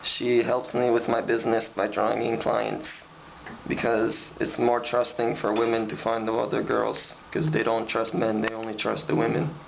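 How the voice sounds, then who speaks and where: Cold, flat, creaky trafficker, acted by a man. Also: on the low side, normal speed. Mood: cold, detached. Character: cold, matter-of-fact male human trafficker. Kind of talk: student-acted mock interview. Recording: dull, lightly noisy lo-fi recording.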